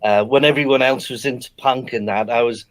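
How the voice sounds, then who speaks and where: Relaxed man from Ireland, on a call. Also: medium pitch, a little fast. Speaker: musician guest. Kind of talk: interview answers.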